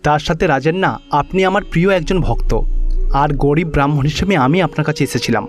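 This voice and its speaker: A man playing a calm, benevolent male god in a cartoon story, speaking in a measured, assuring way. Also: on the high side, soft background music, close to an everyday voice.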